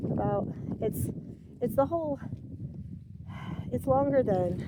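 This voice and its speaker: A woman chatting casually to her camera outdoors on a farm, rambling and self-deprecating as she tells a story. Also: on the high side. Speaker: farm vlogger. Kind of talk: casual outdoor vlog chat. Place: outdoors on a farm by a frozen pond. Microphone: handheld camera. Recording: loud outdoor noise on camera mic.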